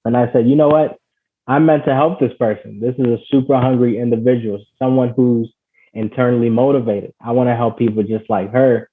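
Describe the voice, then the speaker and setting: A man from Ghana speaks earnestly as an interview guest, telling his story with frequent "you know"s and "basically"s. His voice sits at an ordinary middle pitch. The sound comes through a narrow, tinny call line. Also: fairly even intonation, brisk. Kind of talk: conversational storytelling. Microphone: call audio. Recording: tinny phone-like call audio.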